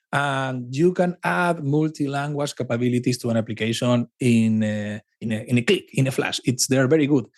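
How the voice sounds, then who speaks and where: A man from Argentina, a native Spanish speaker with an Argentine accent, talking as a podcast guest in an animated, repetitive, conversational way. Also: mid-range voice. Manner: animated, with frequent restarts and emphatic repetition. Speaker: podcast guest, cloud advocate. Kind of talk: casual conversational interview.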